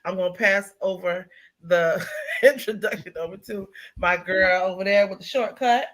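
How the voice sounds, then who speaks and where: A middle-aged woman hosts a casual talk show, her voice bright and ringing. She chats easily, teases her co-hosts and laughs often. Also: mid-range voice, normal speed. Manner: laughing, teasing, chatty. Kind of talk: casual chat.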